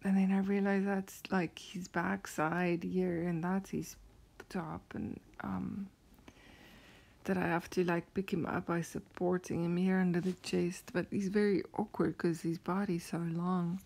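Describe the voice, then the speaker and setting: Breathy, gravelly South African woman, half-whispering. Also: lowish voice, flat delivery, a little slow. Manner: soft, often half-whispered, hesitant with many fillers. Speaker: vlogger. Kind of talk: rambling recounting of a dream.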